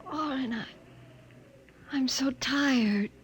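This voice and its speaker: A woman's voice: sits high, drawn-out words. An American actress playing an earnest, devoted young woman survivor in a 1950s radio drama, with theatrical delivery. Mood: frightened, weary. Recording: noisy old 1950 radio recording.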